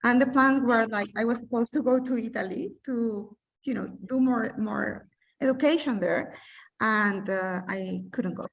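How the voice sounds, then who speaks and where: A woman from Mexico, a businesswoman guest in an interview, telling her life story over a video call, animated and pausing often with fillers. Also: fairly high pitch, creaky, recorded from a distance, poor audio. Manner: animated, searching for words with many fillers. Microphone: remote video call.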